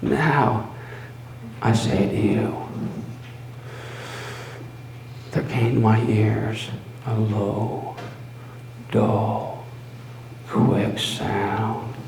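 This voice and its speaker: A man voicing a mad, obsessive murderer confessing his crime in a dramatic gothic horror reading, halting and theatrical, moving between breathy whispers and loud outbursts. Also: on the low side, heavily acted. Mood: tense, obsessive. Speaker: storyteller. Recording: roomy live recording with faint noise.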